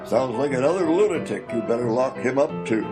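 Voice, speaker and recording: A man's voice: medium pitch, lively intonation, brisk, over music, natural voice. A man playing a pompous, wisecracking and grumpy American president from history in a comedy radio play, with an oratorical manner. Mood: suspicious, bossy.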